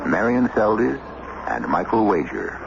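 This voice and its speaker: Male American mystery-radio host, gravelly dramatic storytelling, measured phrasing, clearly noisy vintage broadcast. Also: on the low side, big pitch swings, lo-fi sound. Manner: measured, theatrical. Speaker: narrator and host. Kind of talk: dramatic scripted radio narration.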